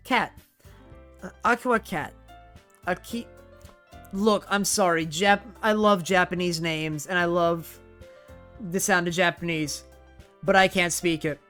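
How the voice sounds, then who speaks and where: Smooth-voiced gamer reading a visual novel. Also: male voice, high voice, sharp tone. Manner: chatty, self-correcting reader, laughs at himself. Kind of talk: let's play, reading game text aloud with casual commentary.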